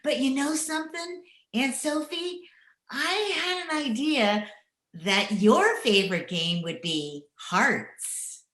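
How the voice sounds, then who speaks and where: Woman as bright, echoey, cheeky housemaid. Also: high-pitched, expressive, slight background noise, heavily acted. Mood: wry, teasing. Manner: teasing, wry, drawn-out. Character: droll, cheeky housemaid with dry wit. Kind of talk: old-time radio comedy acting. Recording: echoey room over video call.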